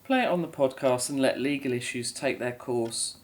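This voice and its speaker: A woman's voice: very deep voice, normal speed, a little mellow. An actress playing a weary, remorseful middle-aged mother in an audio drama, speaking in a grave, resigned way.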